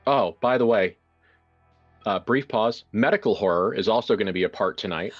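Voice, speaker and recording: A man with a low voice talks as a game master, casual and wry, improvising as he goes. The sound is slightly dull and lo-fi, with music playing behind him. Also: normal speed, slightly rough. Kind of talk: casual improvised introduction and horror game narration.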